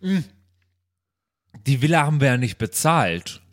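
A man's voice: mid-range voice, big pitch swings, measured pace, a bit bright, no echo, studio quality. A man playing an improvised tabletop game, chatting casually and explaining things in rambling detail. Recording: music under the voice. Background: music.

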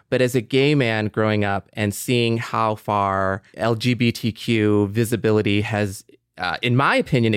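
An American man speaking sincerely and reflectively in conversation, in a measured way. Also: mid-range voice, smooth, no room sound, studio quality. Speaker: podcast panelist. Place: remote video chat recording.

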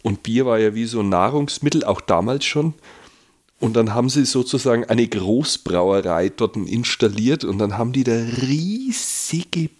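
A man with a Franconian accent co-hosting a beer podcast, telling stories in a relaxed, laid-back casual chat. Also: medium pitch, normal speed. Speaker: podcast co-host.

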